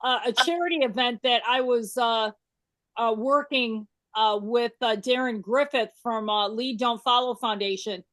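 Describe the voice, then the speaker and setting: A woman's voice: fairly high pitch, unhurried, fairly bright tone, no echo. A woman hosting a casual chat with a band, talking loosely with lots of fillers and stories. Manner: chatty, storytelling, many fillers. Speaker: podcast host. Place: works in Las Vegas.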